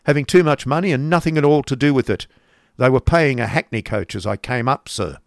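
Man as a storybook miser uncle, older, scornful, testy, clipped emphatic delivery, raised pitch, dry close sound. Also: brisk, creaky, dry recording, lightly acted. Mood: gruff, scornful.

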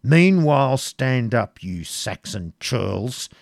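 A man's voice: mid-range voice, normal speed, creaky, no room sound. Storybook prince, played by a man: scornful, hot-tempered, commanding, archaic diction, dry close sound. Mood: angry command. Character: fiery, imperious medieval prince, scornful and quick-tempered.

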